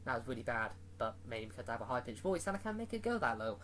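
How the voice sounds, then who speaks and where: A man's voice: mid-range voice, very animated, quick pace, a bit bright, slight background noise. A man giving a casual, rambling movie review, full of fillers and restarts.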